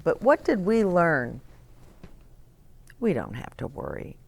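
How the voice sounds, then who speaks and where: A woman reads and chats through picture books for young children. Her voice sits a little low and swings widely in pitch. She takes her time, leaning on key words and putting simple questions to the young listener. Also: sing-song, slow pace, gravelly. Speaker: children's storybook reader and host. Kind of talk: read-aloud story time with commentary for young children.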